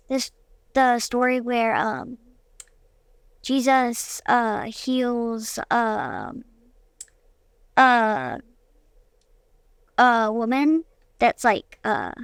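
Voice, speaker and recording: A young girl answering interview questions casually, in a hesitant, halting way. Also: a little high, clear, resonant tone, dry recording. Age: child.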